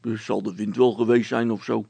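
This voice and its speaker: A man voicing a gruff village constable in a children's audiobook, blustering and dismissive but secretly timid. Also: lowish voice, flat delivery, brisk, mellow, no echo.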